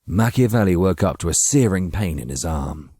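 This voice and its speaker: A man's voice: deep voice, lively intonation, moderate pace, warm tone, breathy edge, no room sound, clean studio sound. A man narrating a dramatic story from a script as an audiobook reader.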